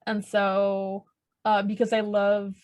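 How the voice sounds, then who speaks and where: A woman's voice: mid-range voice, monotone, slow pace, a little mellow. A young woman whose first language is French, co-hosting a podcast in casual chat and telling stories with frequent restarts. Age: young adult. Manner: chatty storyteller with frequent restarts and laughter. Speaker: podcast co-host.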